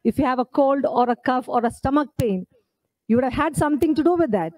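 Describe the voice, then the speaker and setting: A woman's voice: high voice, fast talker, a little mellow, dry recording, low-quality recording. Earnest woman speaking at a podium. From India. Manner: earnest, emphatic, uses rhetorical questions. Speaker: author at her book launch. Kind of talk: public speech at a podium. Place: indoor event stage. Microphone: podium microphone.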